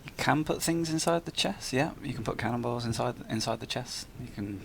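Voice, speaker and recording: A man's voice: mid-range voice, a little fast, creaky, slight background noise. A man chatting and bantering casually as a developer guest on a live stream.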